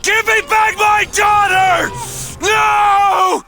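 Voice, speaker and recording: An actor playing a haunted, desperate private investigator in a dramatic video game, speaking tersely and emotionally. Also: male voice, extremely high pitch, little pitch movement, drawn-out words, vocal fry, raised voice, crowd noise, exaggerated voice. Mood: furious, desperate. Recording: music behind the voice.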